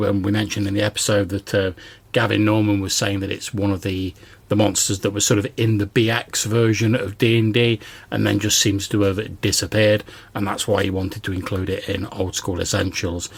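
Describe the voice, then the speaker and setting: A man's voice: fairly low pitch, creaky, husky. A man hosting a podcast, chatting conversationally in response to listener voicemails. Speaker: podcast host.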